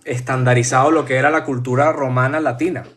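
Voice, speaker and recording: A man's voice: medium pitch, fairly even intonation, quick pace, fairly bright tone. Insistent Venezuelan man demanding sources. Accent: Venezuelan Spanish. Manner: presses for sources, insistent, challenging. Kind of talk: heated debate on a call.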